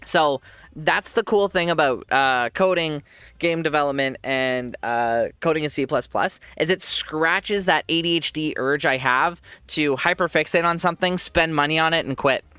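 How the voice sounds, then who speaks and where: A man's voice: fairly high pitch, normal speed, pushed and bright, clean tone, no room sound. A man with a congested voice, giving rambling casual commentary while he plays a game and laughs often. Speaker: streamer.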